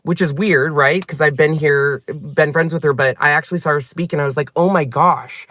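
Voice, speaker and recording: A man hosting a crafting channel, talking candidly and earnestly to his viewers from his car, his voice climbing higher when he gets emphatic. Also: steady tone, fairly quick, fairly bright tone, dry recording. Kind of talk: candid livestream-style chat to viewers. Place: sitting in a car.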